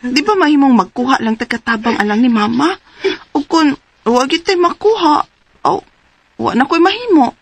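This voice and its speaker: A woman in her forties with a Cebuano accent playing a single daughter who cares for her mother in a radio drama, earnest and rising high in surprise. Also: animated, normal speed, vocal fry, dry recording. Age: 40s. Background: music.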